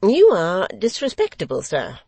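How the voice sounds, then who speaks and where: A woman's voice: mid-range voice, huge pitch swings, unhurried, mellow, husky, no echo, voice pushed up, full character voice. A woman voicing a testy, flustered male Lord Mayor who dithers and fusses. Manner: fussy, dithering.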